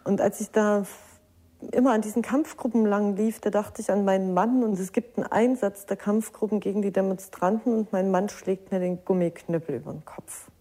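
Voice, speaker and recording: A woman's voice: mid-range voice, fairly even intonation, normal speed, dark tone. A woman giving a personal eyewitness recollection for a documentary.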